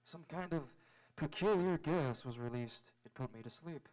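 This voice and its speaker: A man playing an earnest, polite young space adventurer, speaking sincerely and in measured phrases in old-time radio drama style. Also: a little high, a little mellow, lightly acted, poor audio. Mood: dazed, recounting. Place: live on an auditorium stage.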